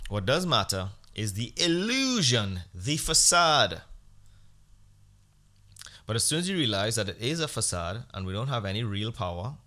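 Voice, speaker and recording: A man's voice: mid-range voice, sharp tone. A man hosting a podcast, talking in a blunt, sarcastic rant. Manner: blunt, ranting, sarcastic, profane. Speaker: podcast host. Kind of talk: casual opinionated podcast monologue.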